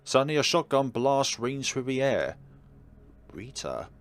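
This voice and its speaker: A man's voice: mid-range voice, big pitch swings, measured pace, slight background noise. A man narrating and commenting on a game as he plays it. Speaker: game streamer. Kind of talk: let's play commentary and read-aloud narration.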